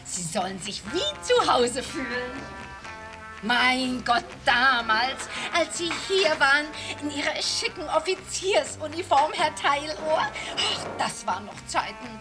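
An actress playing a coquettish middle-aged village innkeeper who gushes over a returning guest, in a radio play. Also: female voice, high-pitched, lively intonation, measured pace, over music. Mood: gushing. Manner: gushing, effusive.

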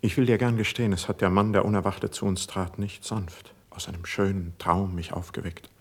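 An actor playing a troubled, devoted poet in a classical verse radio drama, speaking in a fervent, lyrical way. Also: male voice, low voice, steady tone, fairly quick, breathy edge. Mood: troubled, hesitant. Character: troubled young male poet, devoted, self-doubting, passionate.

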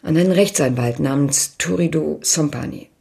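An anxious, loyal woman under questioning in a radio crime drama. Her voice is very raspy with a gravelly creak, and she sounds subdued and defensive. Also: sits low, moderate pace, mellow, heavily acted. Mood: reluctant confession. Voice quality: husky, creaky. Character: anxious, loyal woman reluctantly confessing to police. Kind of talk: German radio drama acting.

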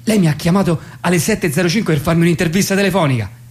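A man's voice: high voice, rapid-fire, a little mellow, close to an everyday voice. An actor playing a polite but exasperated, sleep-deprived young man who hesitates and protests in a comic radio play. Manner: hesitant, repeating himself, protesting.

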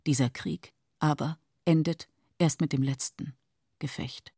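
Female narrator: creaky low-lit timbre, sober short scene settings, dry recording, radio drama. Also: fairly even intonation, unhurried, warm tone, dry recording, heavily acted. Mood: solemn, hushed. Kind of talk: scripted radio play narration.

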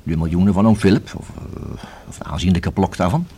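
An actor in a radio play as a methodical police inspector who reasons and questions in a formal, measured, deliberate way. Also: male voice, low voice, mellow. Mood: pensive, speculating. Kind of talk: radio drama acting. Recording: hissy old radio play recording.

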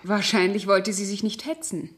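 An actress playing an evasive, secretive family friend in a German radio crime play, smoothly explaining things away. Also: female voice, mid-range voice, fairly even intonation, normal speed, natural voice. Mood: evasive, soothing. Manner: explains herself smoothly, plays down worries.

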